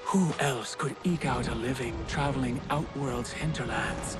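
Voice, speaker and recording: An actor playing a mysterious male tempter who speaks in a calm, measured, seductive way, in a video game cutscene. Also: medium pitch, loud music behind the voice, lightly acted. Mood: persuasive.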